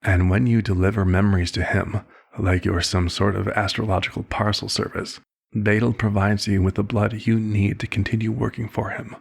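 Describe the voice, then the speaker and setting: A man playing a concerned, paternal vampire doctor in an ASMR roleplay, speaking formally and deliberately in a husky voice. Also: on the low side, little pitch movement. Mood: probing, measured.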